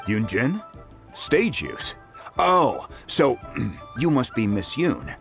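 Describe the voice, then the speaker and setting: An actor voicing a friendly, humble blacksmith in a video game, chatty and self-deprecating. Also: male voice, medium pitch, wildly swooping pitch, extremely slow, vocal fry, faint music behind, heavily acted.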